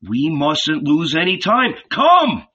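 A man doing an excited, urgent shepherd disciple. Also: a little high, takes it slowly, breathy edge, voice pushed up, full character voice. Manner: urgent, pleading, rising excitement. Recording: dull lo-fi recording.

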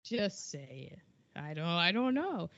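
A woman's voice: fairly low pitch, sing-song, slow pace, a bit bright, no echo. A chatty woman in her forties co-hosts a podcast, reading through past events with quips and playful acted quotes. Her voice sits dry and close. The recording is slightly dull. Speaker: podcast co-host. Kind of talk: casual chat reading out history notes.

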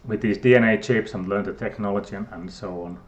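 A man's voice: on the low side, fairly even intonation, fast talker, dull audio. A middle-aged man from Finland with a Finnish accent, a guest scientist who talks hesitantly with many fillers and restarts. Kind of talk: casual interview talk.

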